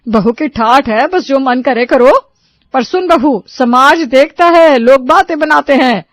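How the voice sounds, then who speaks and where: A woman's voice: high voice, a little slow, dry recording. A woman voicing a nagging, sarcastic middle-aged mother-in-law in a cartoon story, chiding with rhetorical questions. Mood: disapproving. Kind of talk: Hindi cartoon moral story voice acting.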